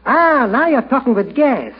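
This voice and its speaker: A dull old radio recording of a very high-pitched salesman. He has a Yiddish accent and wheedles in wisecracking sales patter, in 1940s comedy style. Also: sing-song, moderate pace, heavy background noise. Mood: pitching. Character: wheedling, wisecracking male furniture-store salesman.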